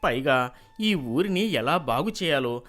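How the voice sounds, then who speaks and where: A man voicing an earnest, city-educated young man in an animated moral story, confident and questioning. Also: mid-range voice, measured pace, pitched below the performer's own voice. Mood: earnest, skeptical.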